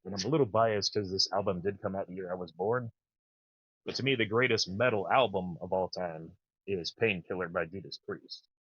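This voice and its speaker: A man in his thirties with a naturally low voice, chatting casually and enthusiastically as a podcast host. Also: fairly bright tone, no echo, muffled recording. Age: 30s. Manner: rambling, enthusiastic. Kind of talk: casual chat.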